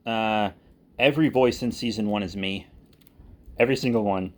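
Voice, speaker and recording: A man's voice: fairly low pitch, normal speed. Candid, self-deprecating man talking off the cuff. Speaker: audio drama creator and channel host. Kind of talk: casual unscripted vlog talk.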